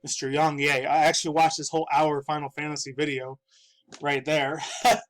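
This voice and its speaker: A man's voice: on the high side, little pitch movement, moderate pace, a bit bright. Stuffy-nosed man in his thirties rambling profanely. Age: 30s. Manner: rambling, profane, laughing often. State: stuffy from dust. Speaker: gaming news YouTuber. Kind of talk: casual video commentary. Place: his own room.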